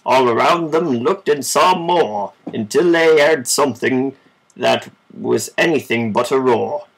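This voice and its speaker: Man for children's story narration, amateur and informal; unhurried pace, fry-edged voice. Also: medium pitch, rough recording. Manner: informal, measured amateur reading. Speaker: storybook reader. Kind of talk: reading a children's story aloud.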